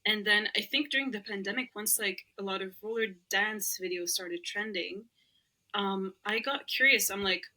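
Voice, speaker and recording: A young woman with a bright, clear voice. Her is Arabic, but she talks easily in English. She is chatty and laughs often as a podcast guest. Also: mid-range voice, moderate pace, poor audio. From Lebanon. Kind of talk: casual interview chat.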